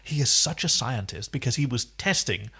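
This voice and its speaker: A man's voice: medium pitch, animated, normal speed, sharp tone, husky. A man co-hosting a casual TV recap podcast, explaining things with enthusiasm. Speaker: podcast co-host. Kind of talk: casual TV episode recap chat.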